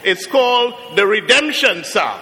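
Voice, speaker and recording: A middle-aged man from Trinidad with a Trinidadian accent, preaching a sermon as a guest preacher, slowing down and rising to emphatic peaks. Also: high-pitched, very animated, sharp tone. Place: large church. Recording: long church reverb.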